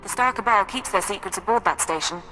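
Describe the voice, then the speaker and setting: An actress playing an efficient, resolute intelligence operative in a sci-fi game, delivering crisp briefings. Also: female voice, medium pitch, fast talker, over loud music, close to an everyday voice, rough recording. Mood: businesslike. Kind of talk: scripted sci-fi game acting.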